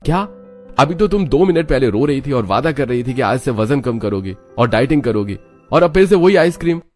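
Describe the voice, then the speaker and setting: A man's voice: medium pitch, animated, brisk, a little mellow, pitched above the performer's own voice, lightly acted. A man voicing an exasperated young husband in a scripted cartoon story, talking in a weary, lecturing way. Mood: scolding. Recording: music under the voice.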